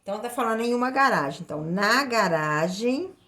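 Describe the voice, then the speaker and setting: A woman's voice: a little high, expressive, fairly quick, pushed and bright. A woman with a Brazilian accent explaining a lesson step by step as a maths teacher, in a didactic way with repeated phrases. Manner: didactic, repeats phrases and checks in with short tag questions.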